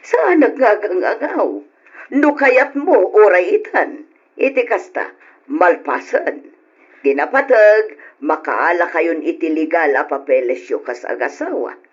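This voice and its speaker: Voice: mid-range voice, animated, a little slow, breathy edge. A performer playing a generous, insistent auntie in an old radio drama. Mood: eager, persuading. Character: generous, eager auntie urging a quick wedding. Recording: dull lo-fi old radio recording.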